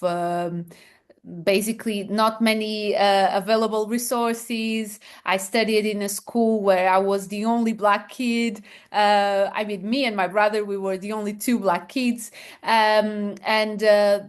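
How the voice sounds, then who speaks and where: A woman from Portugal with a Portuguese accent, answering interview questions as a founder with many pauses, fillers and restarts. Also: medium pitch, smooth. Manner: hesitant, many fillers and restarts, laughs softly. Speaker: founder guest.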